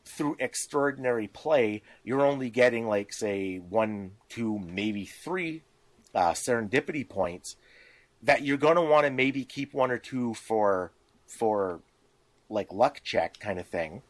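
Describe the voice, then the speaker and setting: A man's voice: mid-range voice, lively intonation, slow, no echo. Man explaining game rules, closely recorded. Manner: explanatory, reasons through examples. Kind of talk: casual discussion of tabletop game rules.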